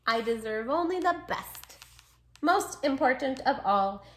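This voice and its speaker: A woman's voice: sits high, expressive, measured pace. A woman voicing a sweet, plucky little male dachshund who tells his own storybook tale with earnest, dramatic emphasis. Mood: plucky, reassuring. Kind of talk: children's storybook read-aloud.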